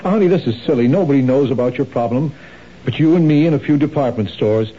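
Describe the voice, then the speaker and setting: An actor playing a kind, patient husband who gently questions his wife, in a 1970s radio drama. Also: medium pitch, fast talker, husky, lightly acted. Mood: gentle. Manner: gentle, reassuring, probing. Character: kind, patient husband, city office worker. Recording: dull old radio broadcast.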